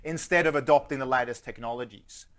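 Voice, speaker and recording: A man's voice: mid-range voice, fast, fairly bright tone. A man from Sweden with a Swedish-Australian accent, giving a conference keynote as a futurist, joking with the audience and asking them questions. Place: conference stage before a live audience.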